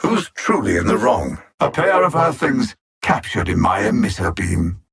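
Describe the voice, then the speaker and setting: A man's voice: lowish voice, big pitch swings, normal speed, gravelly, fairly bright tone, full character voice. A man playing an arrogant, coldly mocking alien machine intelligence, a video game villain with a haughty, erudite and theatrical delivery. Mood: imperious.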